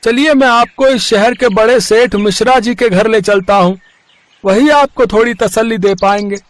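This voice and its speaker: A man's voice: sits high, moderate pace, no echo, natural voice. A man voicing a friendly, helpful auto-rickshaw driver in a children's cartoon story, eager and reassuring. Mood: cheerful, helpful.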